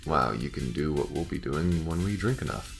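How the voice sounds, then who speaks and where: A very low-voiced, gravelly space smuggler, acted by a man, gruff and guarded. He talks in a halting way with dry, wry sarcasm. Music plays under this sci-fi audio drama. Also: expressive, exaggerated voice. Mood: dryly sarcastic. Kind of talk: audio roleplay acting.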